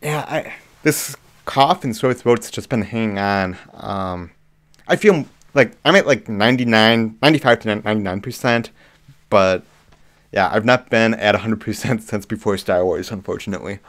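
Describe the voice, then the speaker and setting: A man hosting a live movie chat, slightly hoarse with a cough, talking casually with lots of ums. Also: lowish voice, normal speed. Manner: relaxed, frequent ums and restarts. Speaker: livestream host. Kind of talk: casual live chat reading viewer comments.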